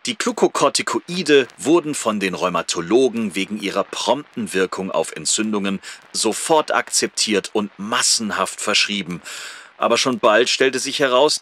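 A man's voice: lowish voice, bright, forceful tone. An actor playing a male surgeon who looks back candidly on his illness in a reflective, emphatic audio drama monologue. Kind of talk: first-person audio drama monologue, read from memoir.

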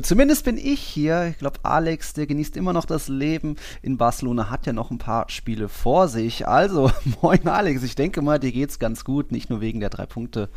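A man's voice: medium pitch, darkish tone, dry recording. A man hosting a casual football podcast from a studio. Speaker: podcast host.

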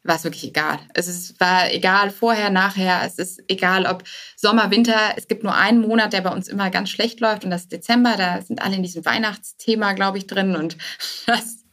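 Casting: woman, mid-pitched, candid startup guest, conversational, sprinkles in English business terms. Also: steady tone, fairly quick, a bit bright. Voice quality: English business loanwords mixed in. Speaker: interview guest, startup co-founder. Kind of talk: casual interview conversation.

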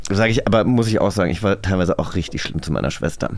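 A man's voice: deep voice, animated, quick pace, gravelly, darkish tone. A man in his forties chatting casually as a podcast host, joking and telling anecdotes. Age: 40s. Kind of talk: casual chat.

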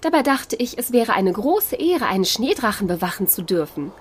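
A woman's voice: on the high side, sing-song, normal speed, breathy edge. A woman playing a kind, composed princess in a fairy-tale audio play, polite and matter-of-fact. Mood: reassuring. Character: kind, composed princess who gives orders.

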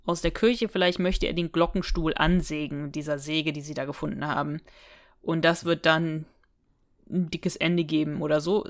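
A woman's voice: sits low, fairly even intonation, normal speed. A woman co-hosting a podcast in a casual chat, recapping a story and speculating aloud with frequent tag questions. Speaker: podcast co-host. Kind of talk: casual chat recapping and discussing an audio drama.

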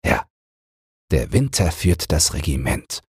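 An old storybook raven acted by a man, husky and very rough in tone. He lectures smaller birds with patronising condescension, close and dry on the microphone. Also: a bass voice, very animated, takes it slowly, darkish tone, no room sound, exaggerated voice. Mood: condescending. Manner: patronising, expository. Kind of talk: character voice in a fairy tale audiobook.

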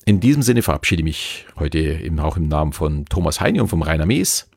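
A man's voice: extremely low pitch, sing-song, brisk, vocal fry, darkish tone, breathy edge, no room sound, clean studio sound. A man with a Bavarian accent, hosting a podcast on hypnosis, who talks in a loose, chatty way with many fillers. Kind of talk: casual podcast talk.

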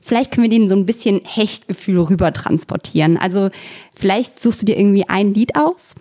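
A woman's voice: medium pitch, animated, fairly quick, dark tone, dry recording, clean studio sound. A woman hosting an interview podcast, asking long, friendly, rambling questions. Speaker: podcast host.

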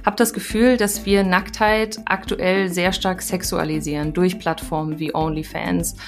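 A woman's voice: fairly low pitch, steady tone, unhurried. A woman giving her opinion as a commentator, in a reflective way. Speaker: opinion blogger, guest commentator.

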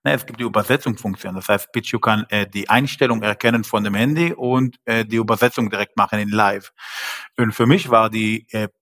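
A man's voice: fairly low pitch, fairly even intonation, brisk, a bit bright. A man whose first language is not German, a podcast guest speaking hesitantly with frequent fillers and grammar slips. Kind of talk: podcast interview.

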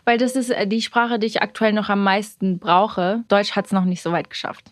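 A woman's voice: mid-range voice, steady tone, normal speed, no room sound. A young woman singer with a Swiss German accent, chatting casually in an interview and laughing often. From Portugal, raised; moved to Switzerland. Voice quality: bubbly, laughs often, many fillers. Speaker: singer guest.

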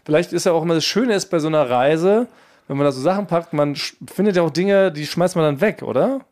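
A man with a slightly high voice chats casually as a podcast co-host. He jokes in a playful, mock-serious manner and keeps the banter loose and comedic. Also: fast, darkish tone.

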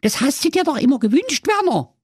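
A squeaky, shrill Saxon housewife, put on by a male narrator, coaxing in a sing-song lilt. Her voice soars far above his own and swings wildly up and down. Dry, close sound. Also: very animated, brisk, no echo. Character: shrill scheming housewife, middle-aged woman.

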